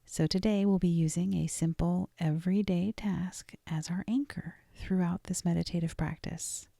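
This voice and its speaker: A woman leading a guided meditation in a soft, gentle, deliberate voice that often trails toward a whisper, with frequent pauses. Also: lowish voice, steady tone, gravelly, dry recording, clean studio sound. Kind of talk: guided meditation, scripted and soothing.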